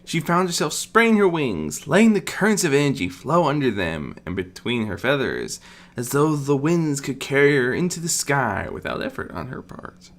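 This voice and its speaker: Expressive story narrator, very lively pitch. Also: normal speed. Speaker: audiobook narrator. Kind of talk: scripted fantasy story narration.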